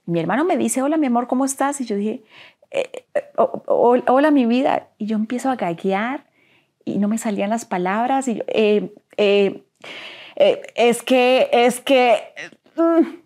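A young adult woman from Colombia with a Colombian Spanish accent, telling her personal story as an interview guest in an earnest, halting way, repeating words and dropping to a near-whisper at heavy moments. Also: a little high, expressive, a little mellow.

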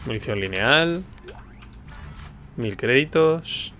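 A man's voice: medium pitch, expressive, slow pace, sharp tone, slight background noise. A man from giving casual gameplay commentary, musing aloud informally. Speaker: video game streamer. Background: video game sound.

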